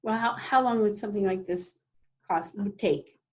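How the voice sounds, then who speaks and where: Woman in a coaching conversation, medium pitch. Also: normal speed, darkish tone. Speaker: coaching client, HR manager. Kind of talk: conversational roleplay and reflection.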